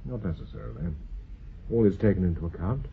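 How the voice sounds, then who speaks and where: A low, very husky British professor, speaks with donnish, measured assurance. It is radio drama acting, exacting and unflappable, on a dull recording. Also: male voice, animated, full character voice. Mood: calm authority.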